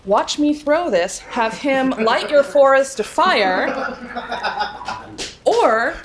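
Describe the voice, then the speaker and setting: Woman, tabletop player, slow pace, fairly high voice, casual game chat, faint noise. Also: expressive.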